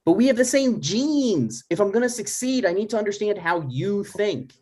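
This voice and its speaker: A man acts out an anxious adult son who wants approval, with a very animated, swinging pitch. He sounds earnest and exasperated, halting as he pleads, in an informal comedy read. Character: anxious, needy adult son seeking his dad's approval. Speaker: writer playing himself and reading stage directions.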